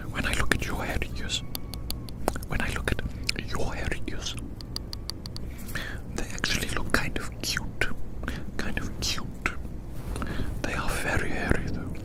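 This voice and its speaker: A performer whispering breathily and close to the microphone in an ASMR roleplay outdoors, repeating phrases soothingly in a whimsical, rambling way. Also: low-quality recording.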